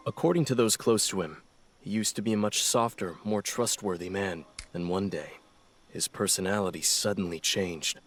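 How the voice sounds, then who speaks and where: A man's voice: fairly low pitch, natural voice. A man playing a composed, earnest naval intelligence officer in a video game, speaking formally and seriously. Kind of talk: video game dialogue, measured exposition.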